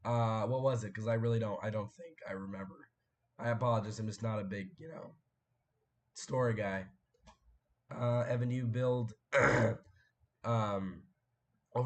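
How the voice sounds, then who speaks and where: Young man, hoarse, cracking voice, rambling stream chat, throat clearing. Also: fairly low pitch. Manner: rambling, chatty, frequent fillers and throat clearing. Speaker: live stream host. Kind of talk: casual live stream chat, reading viewer comments.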